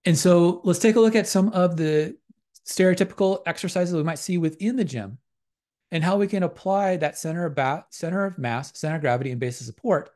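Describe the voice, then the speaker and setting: A man's voice: high voice, brisk, darkish tone. Methodical man lecturing on lifting physics. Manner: methodical, repetitive academic phrasing. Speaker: exercise science lecturer. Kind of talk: slide lecture voice-over.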